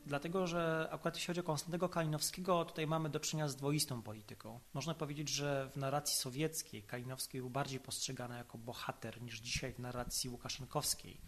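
A man speaking as an expert commentator in an analytical podcast interview, explaining in an articulate, measured way. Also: medium pitch, steady tone.

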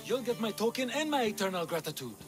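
A man's voice: high-pitched, sing-song, quick pace. An actor playing a harried middle-aged father of three unruly sons, exasperated and grandly theatrical. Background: game music.

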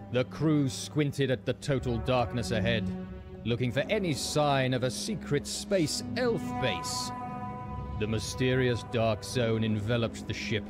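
A man narrating a comic radio play in a steady storyteller way. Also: mid-range voice.

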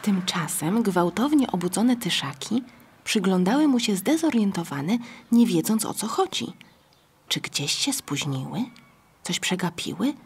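A woman narrates a children's story from a script. Her voice is strongly husky and breathy, at a middle pitch. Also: big pitch swings, a little slow.

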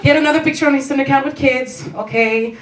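A woman's voice: high voice, quick pace. A young woman with a Belgian accent tells stand-up stories in a playful, performative way, acting out dialogue. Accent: non-native English, Belgian. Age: young adult. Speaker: stand-up comedian. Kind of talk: stand-up comedy storytelling. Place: small comedy club stage, indoors. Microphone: handheld stage mic, recorded by distant camera. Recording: roomy, distant, noisy club recording.

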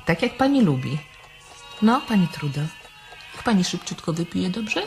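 A woman playing a brisk, coaxing nurse in a radio drama. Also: lowish voice, very animated, a little mellow, soft background music. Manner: busy, coaxing. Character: brisk coaxing nurse tending an elderly patient.

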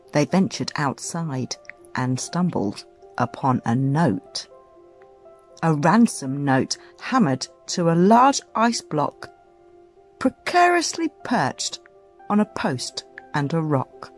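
A woman reading a children's story aloud as dramatic, expressive, suspenseful narration. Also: low-pitched, slow pace. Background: music.

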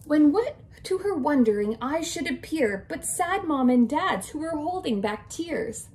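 A woman in her twenties, a kindergarten teacher, reading a picture book aloud to young children in an expressive, sing-song way. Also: sits high, measured pace, slight background noise. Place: small indoor room with decorated walls.

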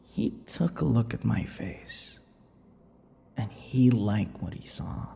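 A man playing a brooding, unhinged male vampire in an intimate ASMR roleplay, breathy and halting, often dropping to a whisper. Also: fairly low pitch, big pitch swings, full character voice. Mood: bitter, brooding. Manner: slow, halting, dramatic pauses and dark chuckles. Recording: long reverberant echo.

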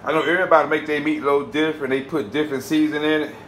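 A man's voice: fairly high pitch, very fast, slight background noise. A man from the South, chatting casually as he cooks in his home kitchen and talks straight to his viewers. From Southern United States. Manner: relaxed, chatty, addresses viewers as "y'all". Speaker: cooking channel host. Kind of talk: casual cooking vlog narration.

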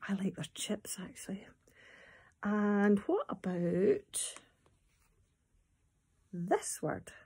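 A Scottish woman in her fifties talking and reading to young children at storytime, expressive, in simple phrases with questions to the listeners. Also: medium pitch, very slow, long pauses, breathy edge. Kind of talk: storytime talk and poem reading for small children. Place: small room at home, indoors. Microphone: phone or tablet at arm's length.

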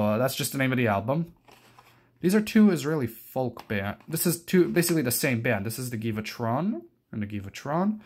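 A young adult man giving a casual, unscripted show-and-tell, rambling hesitantly and often correcting himself. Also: medium pitch. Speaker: collector presenting his cassette collection.

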